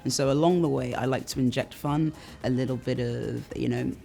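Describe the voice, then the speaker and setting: A woman stylist and judge speaking calmly and thoughtfully to camera. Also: a bass voice, a little fast, vocal fry. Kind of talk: promo interview to camera.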